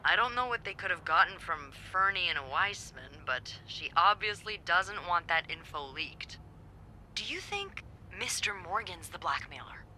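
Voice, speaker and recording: An actress playing a breezy, playful receptionist and nosy confidante in a video game. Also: female voice, lowish voice, expressive, moderate pace, bright, forceful tone, a little hiss or hum, poor audio. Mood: playful, intrigued. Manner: playful, conspiratorial.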